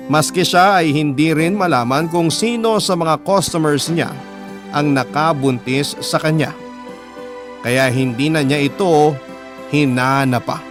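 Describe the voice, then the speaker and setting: A man with a Filipino accent delivering dramatic scripted narration as a radio-style storyteller reading a letter. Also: on the high side. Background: music.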